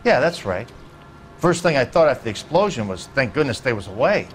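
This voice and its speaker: An actor playing a grudging, folksy American neighbor being questioned as a witness in a video game drama. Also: male voice, mid-range voice, big pitch swings, a little fast, soft background music, close to an everyday voice. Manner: folksy, offhand, defensive. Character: evasive, grudging male suburban neighbor and witness.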